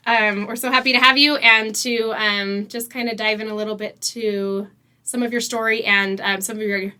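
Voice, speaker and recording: A woman's voice: on the high side, steady tone, moderate pace, bright, forceful tone, smooth. A woman in her forties hosting a faith podcast, chatting in a friendly, encouraging way with many small fillers. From United States. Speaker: podcast host. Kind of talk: casual interview chat.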